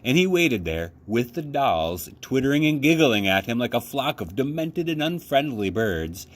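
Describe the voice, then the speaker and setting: A man reading a children's book aloud as a storytime host, with measured, deliberate phrasing and dramatic emphasis. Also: mid-range voice, very animated.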